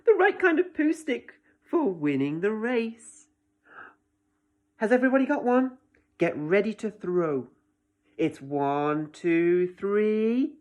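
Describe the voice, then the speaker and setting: A woman voicing an excited young girl in a storybook, eager and bossy, exclaiming her lines. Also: mid-range voice, slow, muffled recording.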